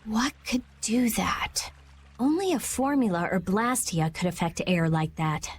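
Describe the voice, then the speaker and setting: A woman's voice: medium pitch, lively intonation, unhurried, husky. An actress playing a brainy, brusque teenage girl researcher in a video game. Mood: pondering. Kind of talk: animated video game acting.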